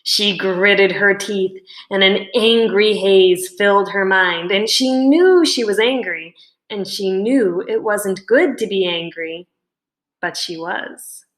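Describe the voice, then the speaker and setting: A woman reading a children's novel aloud like a teacher, with deliberate, dramatic emphasis. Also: mid-range voice, echoey room. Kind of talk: expressive children's storybook narration.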